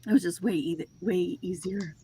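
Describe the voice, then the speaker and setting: A woman's voice: on the low side, moderate pace, mellow, slightly rough. A woman in her forties hosting a casual livestream chat, talkative and self-deprecating, laughing often as she tells stories. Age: 40s. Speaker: host of a reseller livestream.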